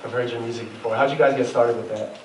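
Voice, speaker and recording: A young man hosting a student panel interview, talking haltingly with lots of fillers. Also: mid-range voice, steady tone, a little mellow. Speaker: student event host. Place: large hall with a live audience. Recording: echoey hall, far mic, crowd noise. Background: crowd chatter.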